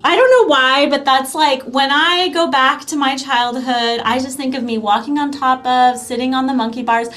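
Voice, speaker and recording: A woman's voice: sits high, normal speed, hardly any pauses, fairly bright tone, faint music behind. A woman speaking as a podcast guest in casual, informal chat, enthusiastic and gushing. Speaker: podcast guest, founder of a language program.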